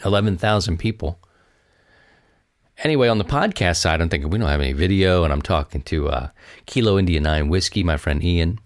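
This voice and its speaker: A man with a low, deep voice talks on his own as a podcast host. His delivery is casual and conversational, rambling along as he speaks straight to his listeners. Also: lively intonation, fairly quick, no room sound, clean studio sound. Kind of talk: casual solo podcast talk.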